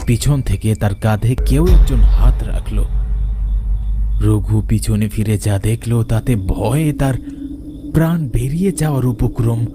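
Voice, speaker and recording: A man's voice: medium pitch, unhurried, noisy background. A man narrating a scripted horror story in a dramatic storytelling style.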